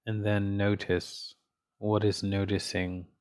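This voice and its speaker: Male meditation teacher, low and even in tone, gentle delivery with plenty of space between phrases. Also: clear, resonant tone. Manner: gentle, unhurried, pausing often between phrases. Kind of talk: guided meditation.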